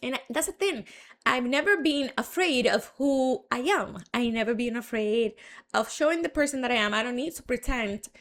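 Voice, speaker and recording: A woman's voice: fairly high pitch, animated, normal speed, a bit bright. Chatty woman with a Dominican accent. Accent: Dominican Spanish. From Dominican Republic. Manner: chatty, frank, many fillers and restarts. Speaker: marketing entrepreneur. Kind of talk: casual storytelling monologue.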